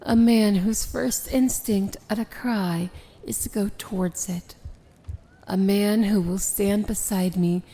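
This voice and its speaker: A commanding evil princess , played by a woman, speaks in a dark, velvety soft voice that often sinks toward a whisper. She is unhurried and formal, proud yet tender, in a fantasy ASMR drama with faint ambient noise behind her. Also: mid-range voice, steady tone, close to an everyday voice. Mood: tender, earnest. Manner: measured, regal, deliberate, formal. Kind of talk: ASMR fantasy romance roleplay, dramatic monologue.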